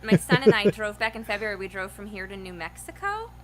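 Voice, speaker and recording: A woman's voice: medium pitch, sing-song, fast, slight background noise. A woman musician telling chatty stories about road trips to friends. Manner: chatty storyteller, often laughing. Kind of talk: casual chat.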